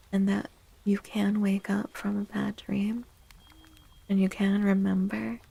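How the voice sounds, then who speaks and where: A woman streaming tarot readings in a soft ASMR style, gentle and breathy, often near a whisper with unhurried pauses. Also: medium pitch, rough recording.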